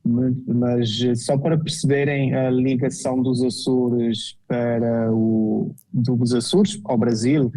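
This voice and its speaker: Azorean Portuguese man, mellow voice. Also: medium pitch, flat delivery, slow pace, hardly any pauses, rough recording. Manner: hesitant, with many fillers and restarts. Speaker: guest architect. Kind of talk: casual interview talk.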